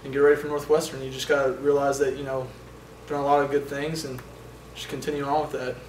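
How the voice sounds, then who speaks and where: A young man, a college quarterback at a postgame press conference, answering questions in a halting way with many fillers and restarts. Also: medium pitch, level tone. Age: young adult. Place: indoor press room in front of a sponsor backdrop. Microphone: table microphones from a short distance. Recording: roomy, distant sound with faint noise.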